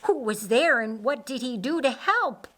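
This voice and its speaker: A woman's voice: high voice, expressive, measured pace, a bit bright, pitched above the performer's own voice, full character voice. A woman voicing a concerned, protective young man in an audiobook story, asking urgent questions. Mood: worried, demanding.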